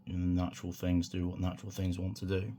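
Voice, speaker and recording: Low, croaky-voiced man demonstrating a craft. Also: quick pace, background noise. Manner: relaxed, rambling, many fillers and restarts. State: croaky voice from a sore throat. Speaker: hobby tutorial host. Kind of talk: casual hands-on tutorial.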